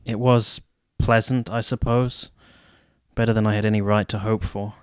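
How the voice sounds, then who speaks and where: A man acting a courteous, reserved young army captain in a radio play, speaking in a formal, measured, literary way. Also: lowish voice, level tone, smooth, close to an everyday voice. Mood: reserved, wistful. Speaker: actor and narrator in a radio play. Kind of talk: literary first-person narration and radio drama dialogue.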